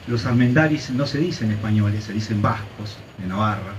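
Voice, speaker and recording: A man's voice: lowish voice, fairly quick, far from the mic, dull audio, a little hiss or hum. A man from Santa Cruz, Argentina, with an Argentine accent, giving an earnest commemorative speech at a memorial ceremony, with frequent "eh" and "este" fillers. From Argentina, Santa Cruz province. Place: outdoor street ceremony.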